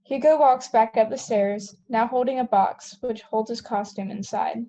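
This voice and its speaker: Female narrator for stage directions, mellow tone, slightly high voice, scripted read. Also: fairly even intonation, normal speed.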